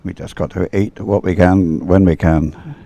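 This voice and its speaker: A man's voice: low voice, steady tone, measured pace, vocal fry, dark tone, dry recording. A man doing live sports commentary and off-the-cuff chat in a hesitant, rambling way, often repeating words.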